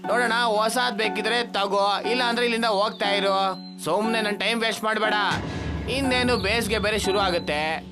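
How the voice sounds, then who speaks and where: A man voicing a sly, dismissive shop assistant in an animated moral story. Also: high-pitched. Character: sly, dismissive male shop assistant, flattering his boss.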